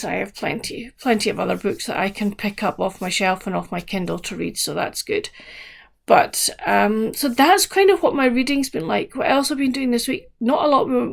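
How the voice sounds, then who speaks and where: A woman chats to camera in a relaxed, wandering way about her week, her voice croaky with a gravelly fry at the ends of her phrases. She talks softly and trails off, sitting at a middle pitch for a woman. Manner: chatty, meandering, soft-spoken. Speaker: book vlogger. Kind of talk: casual chat to camera.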